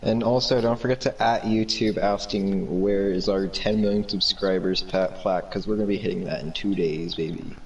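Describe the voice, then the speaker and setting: A man's voice: lowish voice, steady tone, moderate pace, few pauses, far from the mic, lo-fi sound, a little hiss or hum. A man co-hosting a podcast in goofy, ironic casual chat, laughing often. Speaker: podcast co-host.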